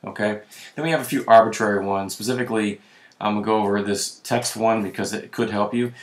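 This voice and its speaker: Hoarse man in an echoey room, casual walkthrough. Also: lowish voice, fairly even intonation, quick pace, slight background noise. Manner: casual, self-correcting, clears throat often. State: losing his voice. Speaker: web tutorial presenter. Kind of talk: screen-recorded software walkthrough.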